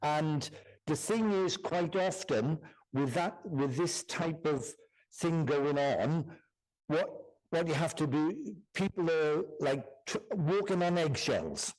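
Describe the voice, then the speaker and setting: A man's voice: on the high side, expressive, slow pace, darkish tone, rough recording. A man working as a guest medium in a church service, speaking to audience members with frequent repetitions and "do you understand?" check-ins.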